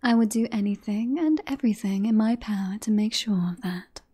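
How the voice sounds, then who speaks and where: A woman playing a flirtatious, smug British queen in a fantasy ASMR roleplay, breathy and often whispering, with playful courtly phrasing. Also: medium pitch, fairly quick, mellow, close to an everyday voice. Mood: seductive, devoted. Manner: playful, silky, formal courtly wording.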